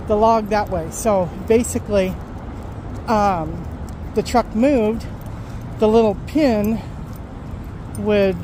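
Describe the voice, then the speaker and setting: A middle-aged woman truck driver chatting casually on a vlog while walking her dogs, rambling and unhurried, recorded on a phone outdoors. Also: medium pitch. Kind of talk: casual walk-and-talk vlog. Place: outdoors by a road and parking lot. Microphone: phone held while walking. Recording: outdoor phone audio with traffic noise. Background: passing cars and outdoor ambience.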